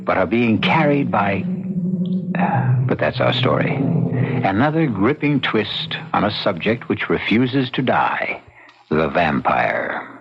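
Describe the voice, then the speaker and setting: Low-pitched theatrical male radio mystery host. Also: sing-song, background noise. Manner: deliberate, dramatic, with pointed pauses. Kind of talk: theatrical scripted narration for old-time radio mystery. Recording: dull lo-fi radio recording with music.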